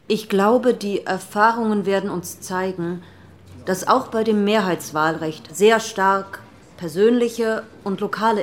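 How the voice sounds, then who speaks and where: A woman's voice: medium pitch, lightly acted. A woman playing an earnest, combative parliamentary delegate of the 1940s, giving formal, articulate, insistent political speeches. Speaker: actor reading historical debate speeches. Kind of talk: formal political oratory from written transcripts.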